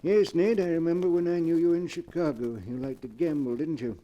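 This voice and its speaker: An actor playing a proud, shady professor who fakes ghosts for hire, in theatrical, measured 1940s radio mystery style. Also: male voice, a little high, fairly even intonation, muffled recording, lightly acted. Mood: knowing, detached.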